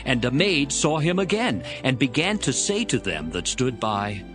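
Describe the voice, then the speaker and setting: Expressive male scripture narrator over music. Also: medium pitch, moderate pace.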